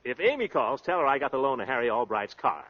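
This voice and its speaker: An actor playing a boastful, breezy American husband, a glib, slangy schemer in a 1930s radio comedy-drama. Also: male voice, medium pitch, big pitch swings, quick pace. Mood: breezy, cocky. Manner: glib, pompous, peppered with slang and nicknames. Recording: dull lo-fi old radio recording.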